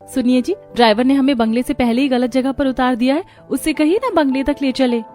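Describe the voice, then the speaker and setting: A woman voicing young, expressive newlywed sisters in dramatized story dialogue. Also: sits high, a little fast, soft background music, natural voice. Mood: confused.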